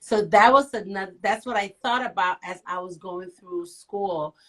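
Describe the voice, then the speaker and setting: Middle-aged woman from Liberia, guest storyteller, playful and teasing, mid-pitched, swings up high when excited. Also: normal speed. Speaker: guest, nail professional and author. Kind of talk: casual interview.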